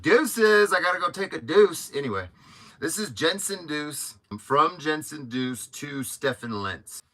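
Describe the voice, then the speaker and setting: A man's voice: fairly high pitch, expressive, measured pace, sharp tone. A man, around his thirties, giving sarcastic commentary as he reads a document aloud for a video. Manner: mocking, sarcastic. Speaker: video host.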